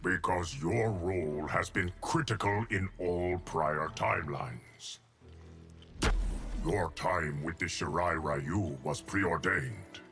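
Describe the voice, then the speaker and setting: An actor playing an ancient, stoic immortal timekeeper in a video game, speaking in a measured, formal, grave manner. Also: male voice, deep voice, a bit bright, exaggerated voice. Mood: solemn. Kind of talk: video game character dialogue. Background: game music.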